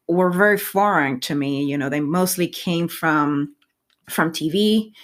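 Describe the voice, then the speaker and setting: A woman's voice: low-pitched, a bit bright. A woman from Puerto Rico in her thirties whose first language is Spanish, speaking reflectively as a podcast guest and often restarting her phrases. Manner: reflective, with frequent restarts and repeated words. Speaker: guest scientist. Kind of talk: podcast interview.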